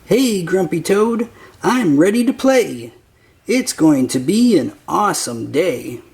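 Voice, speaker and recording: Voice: medium pitch, lively intonation, takes it slowly, vocal fry, darkish tone. A storybook reader voicing a cheerful, easygoing young kitten in an upbeat singsong way. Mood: eager.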